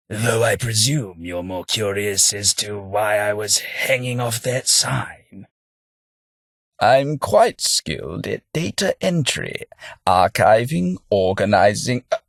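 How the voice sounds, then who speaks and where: A hapless, polite English gentleman in a game, speaking in an unhurried, low, soft voice with a slight huskiness. His manner is mild, self-deprecating and drily humorous, captured in a dry, close studio recording. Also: vocal fry, no echo, full character voice. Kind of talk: game character voice lines.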